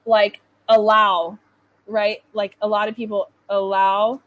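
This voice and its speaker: A woman's voice: medium pitch, little pitch movement, takes it slowly, highs cut off. A woman with a North American accent tutoring English in casual, informal conversation, often saying "like". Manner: chatty, informal, says "like" often. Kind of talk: casual one-to-one lesson chat. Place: online video call lesson.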